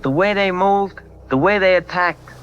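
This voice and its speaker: An actor playing a shaken coast guard captain who gives a hesitant, halting statement. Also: male voice, high voice, a bit bright, muffled recording, faint music behind. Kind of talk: audio drama testimony.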